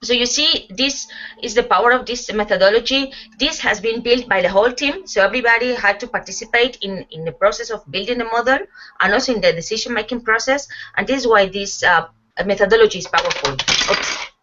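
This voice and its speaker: A woman in her forties from Spain with a Spanish accent, a team coach giving a webinar presentation that is steady and explanatory, with frequent fillers. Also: a little high, fairly quick. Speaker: guest speaker, team coach. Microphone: online call audio. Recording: muffled web call audio.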